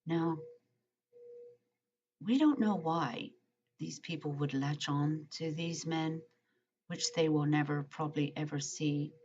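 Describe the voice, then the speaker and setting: A woman's voice: low-pitched, slow, long pauses, darkish tone. A woman vlogger reading a letter aloud and commenting, hesitant with frequent ums and uhs, in muffled lo-fi audio. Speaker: true-crime vlogger.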